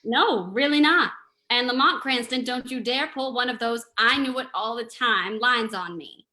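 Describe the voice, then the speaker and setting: A spirited, teasing lady companion from a radio mystery, played by a woman. Her voice is bright and a little high, playful and mock-indignant. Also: moderate pace. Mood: playful, chiding. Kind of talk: old-time radio acting.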